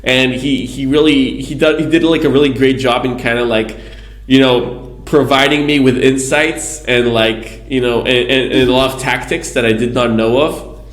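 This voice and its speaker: A man from Israel answers interview questions in a loose, wandering way, circling back to add context. His voice sits at an ordinary middle pitch. The room around him is echoey. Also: fairly even intonation, normal speed. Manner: rambling, storytelling with many asides. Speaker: guest, PR agency founder.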